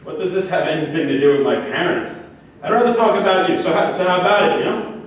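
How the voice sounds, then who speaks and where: Echoey, distant actor as touchy middle-aged defendant. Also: male voice, sits high, animated. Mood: flirtatious, needling. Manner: halting, needling, indignant when challenged. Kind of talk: staged play reading. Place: live staged reading in an echoing room. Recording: echoey room, distant mic, background hiss.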